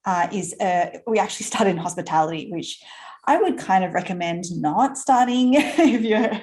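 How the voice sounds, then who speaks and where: A woman's voice: medium pitch, animated, normal speed, darkish tone. A woman in her thirties from Australia with an Australian accent, chatting as an interview guest, laughing often and using plenty of fillers and restarts. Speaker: guest entrepreneur. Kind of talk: casual conversational interview.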